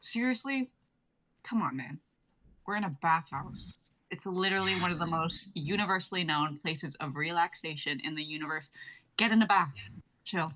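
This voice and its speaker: A woman plays a bossy investigator with a bright, forward voice. The character gives brisk orders and teases casually, as in a live-read sci-fi sketch. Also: on the low side, rough recording. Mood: teasing. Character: bossy, teasing young investigator urging a colleague to relax. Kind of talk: dramatic reading of sketch script.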